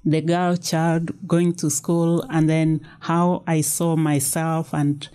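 A woman's voice: sits low, little pitch movement, smooth. A Kenyan woman in her fifties, a guest author, speaking thoughtfully and unhurriedly in a casual studio interview. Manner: thoughtful, unhurried storytelling with soft fillers. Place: small recording studio. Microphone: studio mic on boom arm.

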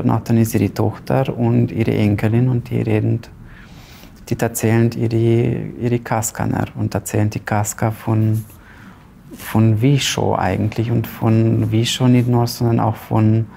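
A man's voice: lowish voice, level tone, creaky, dark tone, slight background noise. A man in his thirties from Romania, a playwright answering interview questions hesitantly with frequent fillers, in a large ornate hall on a lapel mic. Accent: Zipser German dialect. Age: 30s. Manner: hesitant, pauses often with fillers.

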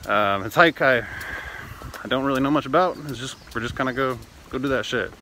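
A man's voice: mid-range voice, brisk, sharp tone, slight background noise, poor audio. A man in his thirties vlogging casually into a handheld phone, rambling with lots of fillers and swearing. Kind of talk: casual vlog chat. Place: indoors at home, then outdoors on city streets and a trail. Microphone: phone camera held close at arm's length.

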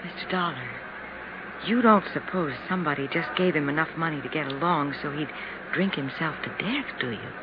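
An actress playing a gossipy, soft-hearted apartment landlady in a 1950s radio drama. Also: low-pitched, brisk, lightly acted. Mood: pitying, hushed. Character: sad, gossipy woman running an apartment house. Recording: noisy, muffled 1950s radio recording.

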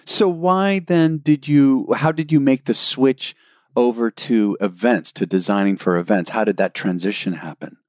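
A man's voice: mid-range voice, darkish tone, no room sound, studio quality. A man hosting a podcast interview, reacting with enthusiasm and curiosity to his guest. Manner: enthusiastic, quick to react with "wow". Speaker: podcast host. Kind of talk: interview conversation.

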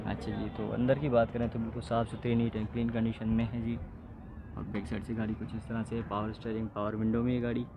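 A man's voice: fairly low pitch, little pitch movement. Man touring a car bazaar. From Pakistan. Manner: brisk salesy patter, questioning sellers. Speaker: car market vlogger. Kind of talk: walk-around car review vlog. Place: outdoor car market. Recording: outdoor street noise.

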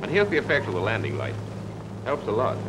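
A man's voice: fairly low pitch, wildly swooping pitch, quick pace. A man acting as an easygoing wartime pilot, informal and matter-of-fact, in a 1940s instructional film. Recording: very noisy 1940s film soundtrack.

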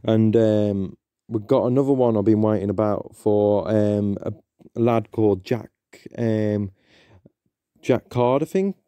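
Rambling young man, dark dry voice. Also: fairly low pitch, a little slow, smooth, no echo. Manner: rambling, hesitant, many ums and restarts. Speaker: podcast host. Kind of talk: casual solo intro chat.

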